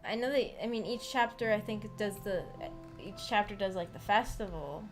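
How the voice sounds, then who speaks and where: A woman's voice: medium pitch, moderate pace. A woman hosting a casual livestream, reading out comments and answering them in a hesitant, rambling way that often trails off. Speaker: streamer hosting a visual novel book club. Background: background music.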